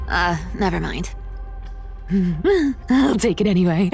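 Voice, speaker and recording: A woman's voice: mid-range voice, heavily acted. Theatrical, giggly, sly thief girl. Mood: impatient, then gleeful. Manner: theatrical, giggly, smug, muttering to herself. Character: sly gleeful young female thief hunting treasure. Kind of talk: video game voice acting.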